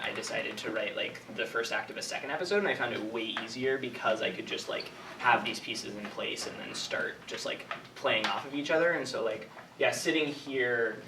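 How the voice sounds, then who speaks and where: Young man, hesitant, in echoey room. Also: mid-range voice. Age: 20s. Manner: hesitant, rambling, heavy use of "like". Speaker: writer answering audience questions. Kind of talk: informal Q&A talk. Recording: echoey room, distant mic, noisy.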